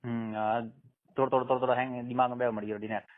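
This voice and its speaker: A man's voice: mid-range voice, level tone, fast. A man, chatting casually and prodding with short questions. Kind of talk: casual phone chat. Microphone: phone line. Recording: narrow, tinny phone call recording.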